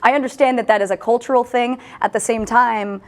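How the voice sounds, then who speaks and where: A woman hosting a casual online news discussion, talking in an animated way and reading quotes aloud with a lift in her voice. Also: on the high side, a little fast. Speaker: host.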